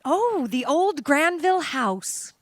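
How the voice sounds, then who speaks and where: An actress in a live radio play playing a sweet, demure small-town young woman, quietly teasing. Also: sits high, sing-song, slow pace, no echo, studio quality, heavily acted.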